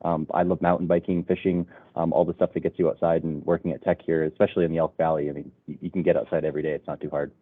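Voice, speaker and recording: Low-voiced man on a phone-like line. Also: level tone, rapid-fire, hardly any pauses, no echo. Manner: casual, upbeat, many fillers. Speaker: automation specialist. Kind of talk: webinar self-introduction. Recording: phone-like web call audio.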